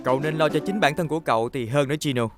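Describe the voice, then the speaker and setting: A man's voice: a little high, racing, over loud music, close to an everyday voice. A man playing a proud, easily indignant boy racer in a cartoon dub, earnest and argumentative. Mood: defiant.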